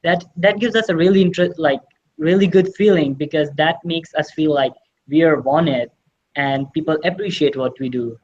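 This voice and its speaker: A man's voice: on the high side, normal speed, a little mellow, dull audio, a little hiss or hum. A teenage exchange student from Pakistan, Urdu his first language, answering interview questions earnestly and enthusiastically. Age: teens. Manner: earnest, enthusiastic, repeats "really" often.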